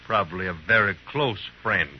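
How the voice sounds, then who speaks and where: An American actor playing a menacing, taunting gunslinger with a cool, insinuating manner in an old radio western. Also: male voice, sits low, animated, moderate pace. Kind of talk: 1950s radio western acting. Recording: muffled old radio recording, faint noise.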